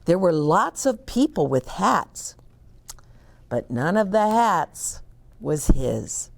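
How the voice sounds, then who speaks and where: A middle-aged woman reading a children's picture book aloud at a very slow, deliberate pace. Her voice swoops with lively expression and has a gravelly edge at the ends of phrases. She pauses to explain words for young listeners. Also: fairly low pitch. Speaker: children's story reader.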